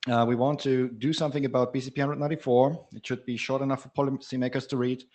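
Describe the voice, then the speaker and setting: A man's voice: medium pitch, flat delivery, fast, darkish tone. A man from Germany giving a wry slide presentation at a technical meeting.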